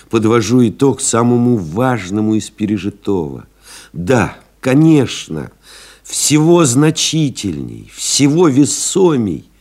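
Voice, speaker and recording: An actor playing a pensive airmail pilot who recalls lost comrades in a literary audio drama, solemn and reflective, with measured dramatic pauses. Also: male voice, medium pitch, darkish tone, heavily acted. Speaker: actor reading a literary memoir as narrator.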